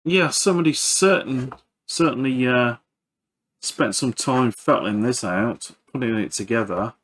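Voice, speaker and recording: A man giving casual hands-on repair commentary as a video host, halting and thinking aloud while he works. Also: medium pitch, fairly bright tone.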